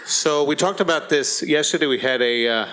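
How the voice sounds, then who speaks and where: A middle-aged American man speaking as a guest on a panel, choosing his words deliberately with halting phrasing. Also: fairly high pitch, fairly bright tone, poor audio. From Florida, United States. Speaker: panel guest, company executive. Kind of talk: panel discussion, explanatory.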